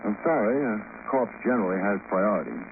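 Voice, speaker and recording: A man's voice: on the low side, fairly quick, close to an everyday voice. An American man playing a wry, unflappable private investigator who also narrates, in old-time radio detective style. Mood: teasing, dry. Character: wry hard-boiled male private investigator. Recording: noisy, narrow-band old radio recording.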